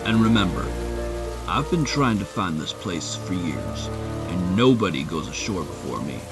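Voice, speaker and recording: A man's voice: low-pitched, big pitch swings, moderate pace. A domineering male sea captain in an audio drama, acted out by a man, with rain and music around him. He is authoritative and possessive, set on his great discovery and used to being obeyed. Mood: firm, possessive. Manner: authoritative, barking orders.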